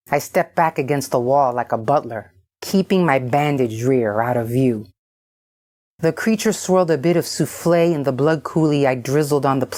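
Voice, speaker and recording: A woman reading a first-person short story as an audiobook narrator, expressive and dramatic. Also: low-pitched.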